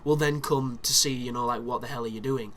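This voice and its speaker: A man's voice: medium pitch, fairly even intonation, a little fast, a bit bright. Chesty man narrating gameplay. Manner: chatty, explanatory, with frequent restarts and fillers. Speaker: video game walkthrough commentator. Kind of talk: casual gameplay commentary.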